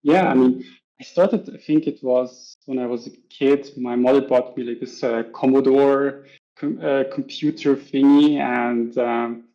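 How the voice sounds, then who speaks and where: A man's voice: medium pitch, steady tone, unhurried, mellow, muffled recording. A man from Switzerland with a Swiss German accent, chatting as a podcast guest and acting out little scenes from his stories. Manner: chatty, acts out voices in stories. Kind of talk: casual podcast interview.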